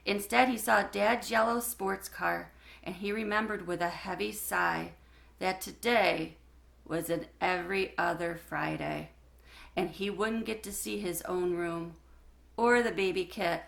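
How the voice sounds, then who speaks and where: A woman reading a children's book aloud in a warm, expressive storytelling manner. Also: medium pitch, gravelly.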